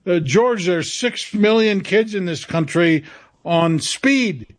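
A man answering as a radio interview guest, halting and often searching for his words. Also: sits high, lively intonation.

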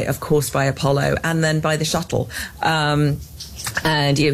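An English woman in her fifties, a scientist guest in an interview, talking earnestly with many restarts and fillers. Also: sits low. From England. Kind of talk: interview conversation.

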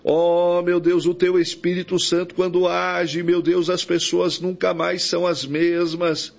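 Brazilian preacher praying in creaky, even tones. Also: male voice, high-pitched, measured pace. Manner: pleading, with frequent invocations. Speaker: preacher leading a prayer. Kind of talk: extemporaneous evangelical prayer. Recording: dull, lo-fi audio.

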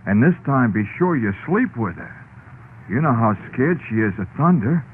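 Actor as a folksy husband, wildly animated pitch. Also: male voice, normal speed, lightly acted. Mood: worried, solicitous. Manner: folksy, solicitous, gently bossy. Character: folksy, worried husband, devoted son of aged mother. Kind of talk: old-time radio drama acting. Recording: tinny old radio recording, faint noise.

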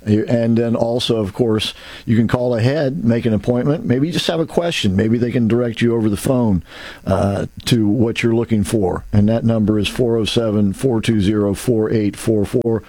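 A man's voice: lowish voice, steady tone, moderate pace, warm tone, no echo. A man hosting a talk show, rambling and folksy, with many restarts and asides. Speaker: radio show host. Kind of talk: casual radio talk show.

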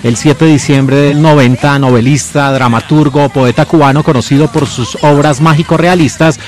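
A man presenting a film in scripted narration, giving titles and names with deliberate emphasis. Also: a little high, steady tone.

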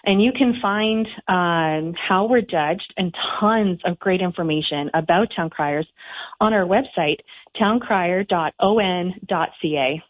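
A woman from Canada explaining things clearly in a radio interview, heard over the phone. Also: fairly low pitch, measured pace. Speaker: town crier guild president, interview guest. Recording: tinny phone line.

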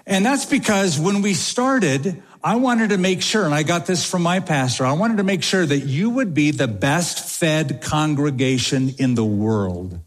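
A man's voice: a little high, animated, a little slow, few pauses, big-room sound. A man preaching an expository sermon from the pulpit of a church, driving points home with repeated phrases. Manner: emphatic, repeats key phrases. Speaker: pastor. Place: church sanctuary.

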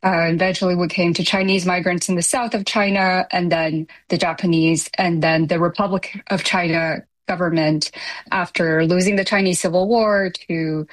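A woman from Taiwan, a literary translator, giving articulate, measured answers in an interview and slowing down to stress key points. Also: lowish voice, steady tone, low-quality recording.

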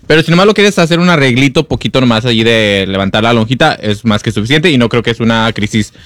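Fast-talking man co-host. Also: medium pitch, animated, a bit bright, no echo. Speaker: radio co-host. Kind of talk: casual chat.

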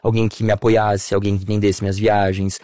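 A Brazilian man in his thirties, an interview guest, telling childhood memories in a warm, nostalgic way with many fillers. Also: on the low side, level tone, fairly quick, no echo, studio quality. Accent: Brazilian Portuguese. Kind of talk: relaxed personal conversation.

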